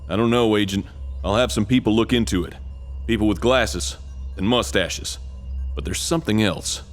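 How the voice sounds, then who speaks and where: An actor playing an earnest, dim-witted military commander in a comic video game, briefing with solemn seriousness. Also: male voice, fairly low pitch, moderate pace, lightly acted. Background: game music.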